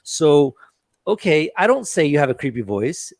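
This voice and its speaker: Earnest American teacher on a call. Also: male voice, on the high side, very animated, moderate pace, a little mellow, no echo, clean studio sound. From United States. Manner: earnest, reassuring, repeats himself. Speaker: English teacher, panel guest. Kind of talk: casual panel chat.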